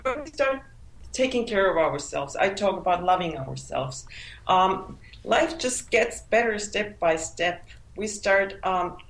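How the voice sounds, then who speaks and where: A woman's voice: mid-range voice, roomy sound, rough recording. A woman whose first language is Finnish, answering interview questions as an author, often restarting and repeating words as she searches for them. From Finland. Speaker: author guest.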